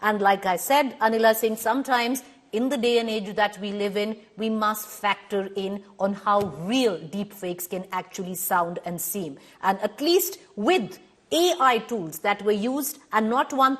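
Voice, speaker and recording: Indian woman anchoring a TV panel. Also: medium pitch. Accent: Indian English. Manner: measured, hedging with frequent "you know". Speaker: news anchor. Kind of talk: moderating a televised panel debate.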